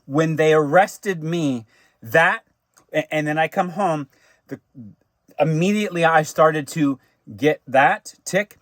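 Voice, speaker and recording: Halting American man, higher-pitched voice, dry sound. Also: dry recording. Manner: halting and repetitive, with stammered restarts and emphatic drawn-out phrases. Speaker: vlogger recounting personal grievances. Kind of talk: unscripted personal monologue.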